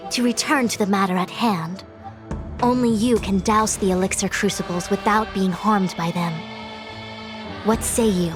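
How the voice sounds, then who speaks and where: A woman playing a grave, composed diviner official in a video game, speaking in a measured, formal, authoritative way. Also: mid-range voice, lightly acted. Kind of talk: scripted video game cutscene acting.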